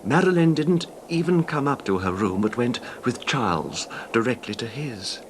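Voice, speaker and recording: An actor playing a controlled, wronged husband calmly confessing his revenge in a 1970s radio drama, measured and understated, with slight hesitations. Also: medium pitch, slight background noise, natural voice. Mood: hushed, chilling.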